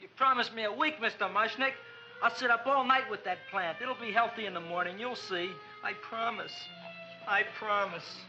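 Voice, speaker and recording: A meek, eager young American shop clerk in an old comedy film. His voice is high and very sharp, boyish and earnest. The soundtrack is dull. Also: normal speed, soft background music. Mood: pleading. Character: meek, naive, eager young male flower shop assistant.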